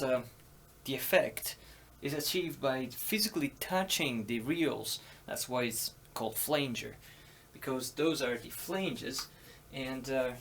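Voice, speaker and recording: Male hobbyist presenter for an informal how-to, mid-pitched, halting, thinking out loud. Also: slight background noise. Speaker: audio hobbyist demonstrating tape machines. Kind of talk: casual hands-on tutorial.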